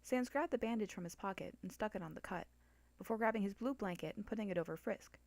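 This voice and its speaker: A woman narrates a scripted story in a dramatic reading style. Her voice sits at a middle pitch for a woman. The recording is dry and close, free of room echo. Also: quick pace, darkish tone.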